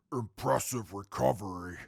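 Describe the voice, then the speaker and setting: Guy doing a menacing super mutant warrior, super gravelly, slow and blunt, close dry audio drama sound. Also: fairly low pitch, dry recording, exaggerated voice. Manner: deliberate, blunt.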